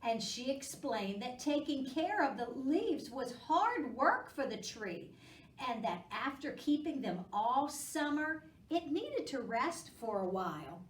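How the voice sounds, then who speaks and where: Casting: female children's storytime host, expressive and coaxing, very varied pitch, roomy distant pickup. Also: takes it slowly, slight background noise. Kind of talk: children's storytime read-aloud and chat. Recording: echoey room, distant microphone.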